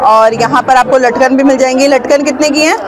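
Woman market vlogger, high bright voice, chatty price checks, loud crowd behind. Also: fairly even intonation, fairly quick. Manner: chatty, asks vendors prices and repeats them to viewers. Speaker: vlogger touring a street market. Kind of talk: casual market tour narration. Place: busy outdoor street market.

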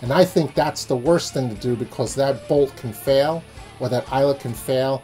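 Male host, forties, mid-pitched, explanatory tutorial delivery recorded indoors, music underneath. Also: moderate pace, a little mellow. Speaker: sailing channel host. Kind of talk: explanatory how-to vlog narration. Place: small indoor room.

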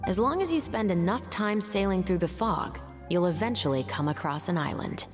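Woman as a composed, low-voiced patrol captain. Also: lively intonation, close to an everyday voice. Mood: reassuring. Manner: measured, self-assured, courteous. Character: composed, capable female security patrol captain. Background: faint game music.

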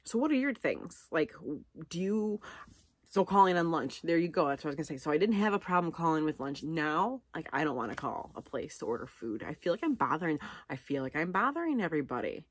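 A woman's voice: deep voice, very animated, fairly quick, breathy edge, dry recording. A middle-aged woman chatting casually and unscripted to camera, rambling and self-deprecating as she thinks aloud. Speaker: vlogger. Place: living room at home, on a couch.

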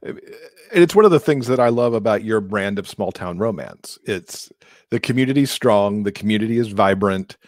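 A man's voice: on the low side, animated, normal speed, a little mellow, no echo. A middle-aged man speaking as an interviewer in a casual, conversational style, close to his microphone. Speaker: podcast co-host, interviewer. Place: indoors, video call from a room. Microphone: large microphone close in front of him.

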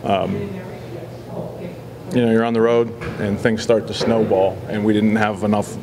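Low-pitched male coach, halting and measured, press scrum, noisy room. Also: little pitch movement. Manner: measured, halting, many fillers. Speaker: football head coach. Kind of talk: post-game press scrum. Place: indoor press backdrop area. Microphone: several handheld reporter mics.

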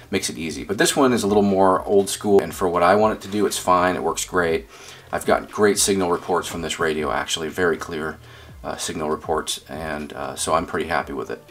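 Low-pitched American man, casual demo. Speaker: amateur radio vlogger. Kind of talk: casual demonstration and radio chat. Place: small home office indoors.

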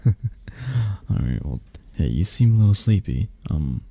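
Male actor as a possessive young mafia boss for romantic ASMR: very dark, soft tone, gravelly fry, hesitant and coaxing. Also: deep voice, very animated, slow, no room sound, heavily acted. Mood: tender, coaxing. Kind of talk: ASMR romantic roleplay, intimate and soft-spoken.

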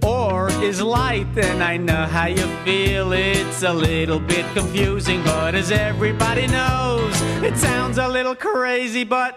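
A friendly singing cartoon cowboy. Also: male voice, high-pitched, fairly even intonation, measured pace, few pauses. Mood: singing cheerfully. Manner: patient, explaining, breaks into cheerful song. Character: friendly bearded cowboy man, patient teacher and singer. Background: loud music.

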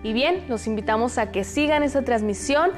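Young woman, Mexican Spanish accent, host, slightly high voice, measured and explanatory, lapel mic. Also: animated, soft background music. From Zacatecas, Mexico. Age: young adult. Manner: measured, explanatory, reads passages aloud. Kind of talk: studio discussion hosting. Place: television studio, indoors.

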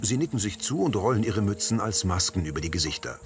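A man reading scripted audio description of scenes in a measured way. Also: lowish voice, animated, over music.

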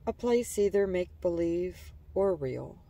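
A woman narrating a guided imagery relaxation in a calm, gentle, soothing manner, letting phrase endings trail softly. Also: fairly low pitch, measured pace, distant mic.

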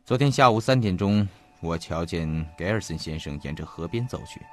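A man acting in an audio drama as an earnest investigator, speaking in a formal, deliberate and detailed storytelling manner. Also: low voice, animated. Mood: ominous, insistent. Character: earnest male investigator recounting a mysterious disappearance. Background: faint background music.

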